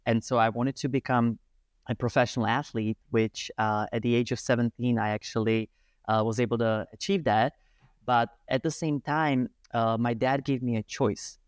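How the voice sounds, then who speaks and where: A man, a guest telling his life story in animated talk full of hesitations. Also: medium pitch, clear, resonant tone, no room sound, studio quality. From Czech Republic. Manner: animated, hesitant with many fillers. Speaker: guest, breathwork and movement teacher. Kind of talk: casual storytelling interview.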